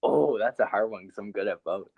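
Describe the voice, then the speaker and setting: Young male podcast co-host, low pitch, lo-fi dry sound, hesitant and laughing. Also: lively intonation, normal speed, vocal fry, slightly rough. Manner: laughs often, hesitant with many ums. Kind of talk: casual sports podcast chat. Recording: dull, dry podcast audio.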